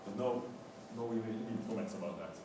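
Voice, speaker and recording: A man giving an academic lecture in a halting, hesitant way, often repeating the starts of his words. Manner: hesitant, halting delivery with stammered word repetitions. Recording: very echoey room, distant mic, noisy rumble.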